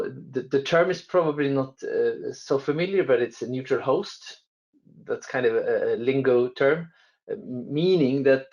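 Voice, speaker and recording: A man's voice: mid-range voice, darkish tone, highs cut off. A man from Sweden with a Swedish accent, a telecom guest answering interview questions with frequent restarts and fillers. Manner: hesitant, many restarts and fillers.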